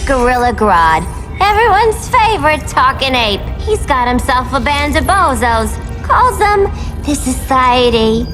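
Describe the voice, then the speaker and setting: A bubbly, wisecracking antihero whose voice sits very high and bounces all over the place. She drawls her jokes out slowly, and music plays under her lines in this game cutscene. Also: sing-song. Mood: playful.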